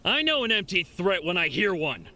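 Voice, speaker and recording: Shifty game thief: theatrical, slightly high, very lively pitch, music behind. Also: male voice, moderate pace, heavily acted. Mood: defiant. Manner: theatrical, highly animated. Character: cornered male thief, cocky then cowardly and pleading.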